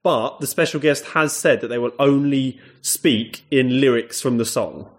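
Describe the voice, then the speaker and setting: Rambling London man telling a story. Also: measured pace. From south London. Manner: rambling storyteller, drops into a lower blokey voice for quotes. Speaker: podcast co-host. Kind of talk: casual comedic chat.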